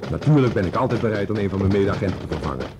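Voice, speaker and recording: Grumbling policeman, low pitch, pompous, indignant, rank-conscious, radio drama, noisy recording. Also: animated, rapid-fire.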